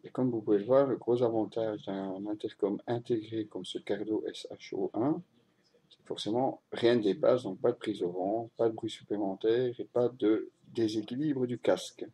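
A man's voice: on the low side, fairly even intonation, normal speed, mellow, breathy edge. A man narrating a motorcycle road test as a voice-over, in a matter-of-fact way. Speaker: motorcycle reviewer.

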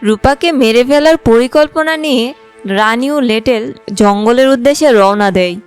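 A woman's voice: fairly high pitch, moderate pace, faint music behind. A woman reading scripted narration for a fairy tale.

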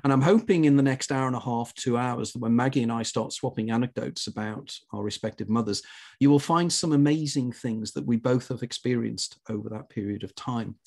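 A man with a gravelly, creaky edge to his middle-pitched voice hosts an interview show. He talks conversationally, chatty and enthusiastic as he addresses his guest. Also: fairly quick, a little mellow.

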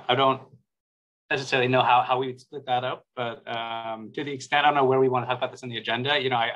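A man on a board speaking casually and haltingly in a meeting over a lo-fi video call. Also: mid-range voice, pushed and bright. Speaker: board member. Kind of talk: informal remarks in a meeting. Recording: dull, lo-fi video-call audio.

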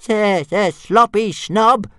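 A cheeky little storybook dragon, pitched very high above normal speech. The creature stutters on its consonants and stretches its words out, grumpy, boastful and always ready to taunt. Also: male voice, slow pace, a bit bright, no echo, clean studio sound. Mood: jeering. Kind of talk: audiobook character voice.

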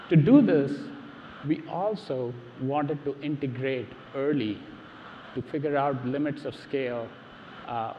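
A man's voice: mid-range voice, a little hiss or hum. A middle-aged man presenting on a large stage to a live audience, speaking emphatically and repeating words as he starts sentences. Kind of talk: technical keynote talk. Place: large dark stage before a seated audience. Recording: roomy hall reverb, dull sound, faint ambience.

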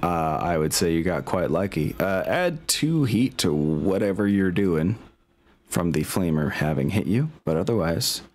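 A man's voice: deep voice, lively intonation, moderate pace, darkish tone. A man acting as game master, narrating a tabletop war game with wry, mock-formal turns of phrase. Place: indoors at home, online group call. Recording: music playing behind the voice. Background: music.

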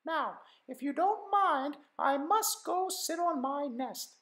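A woman voicing a polite but brisk female songbird in a children's story. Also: expressive, a bit bright, roomy sound, heavily acted. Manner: high and prim.

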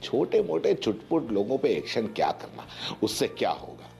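Man, forties, news commentator, emphatic, rhetorical, mellow tone, music behind. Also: medium pitch, wildly swooping pitch, normal speed, gravelly. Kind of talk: opinionated news commentary to camera. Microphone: lapel mic.

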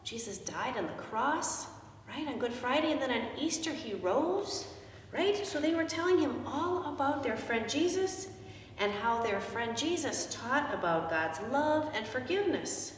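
A middle-aged woman pastor telling a story to children in simple, animated language, with questions to her listeners. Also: high voice, unhurried. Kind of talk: children's message, storytelling from a picture book. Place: indoors in a church, seated before the altar. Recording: echoey church, distant microphone, noisy background.

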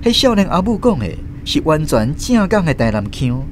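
A man's voice: on the high side, sing-song, normal speed. A man with a Taiwanese accent narrating a scripted radio drama. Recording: faint vehicle noise behind. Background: car or bus ambience.

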